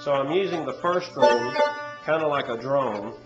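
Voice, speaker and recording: A man with a head cold and a stuffy nose, teaching banjo in a relaxed, rambling way with many pauses. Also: medium pitch, over loud music. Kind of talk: casual instructional talk. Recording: echoey room with dull, lo-fi sound. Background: loud banjo playing.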